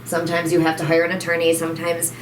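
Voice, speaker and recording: A woman co-hosting a casual chat show, excitable, with a high squeaky voice. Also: fast talker, a little hiss or hum. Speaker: co-host. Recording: echoey room.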